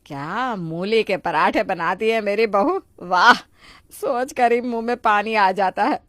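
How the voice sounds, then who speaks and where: A woman's voice: mid-range voice, measured pace, a bit bright, no echo, studio quality, lightly acted. A woman voicing a stubborn, greedy older mother-in-law in a moral story, bossy and exclamatory with a whining edge. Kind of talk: Hindi moral story voice acting.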